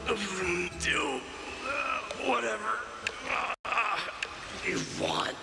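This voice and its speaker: A gravelly, blustering armoured challenger. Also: male voice, high voice, expressive, full character voice. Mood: blustering, irritated. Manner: blustering, halting, gruff. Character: brash, flustered male challenger in heavy armour. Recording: long reverb under loud music.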